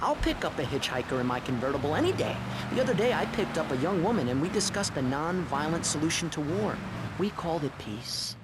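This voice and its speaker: A man's voice: a little high, big pitch swings, brisk. An actor playing a naive, earnest, privileged young male activist, preaching in a satirical radio debate. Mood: righteous. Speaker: activist guest on a panel show. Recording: loud car and street noise over it. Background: vehicle engine and city traffic.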